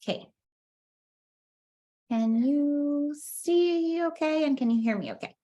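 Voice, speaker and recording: A woman's voice: sits high, slow pace, mellow. A woman in her thirties, a native Spanish speaker from Costa Rica, giving a casual talk as a guest researcher over a laptop mic on a video call, friendly and full of ums and restarts. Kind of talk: casual online lecture.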